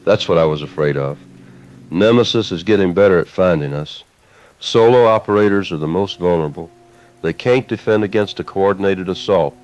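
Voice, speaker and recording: Low-voiced, weary resistance commander played by a man. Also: brisk, darkish tone, lo-fi sound. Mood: grim, frustrated. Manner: grim, grave, burdened. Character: weary male resistance base commander after machine apocalypse. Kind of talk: post-apocalyptic audio drama acting.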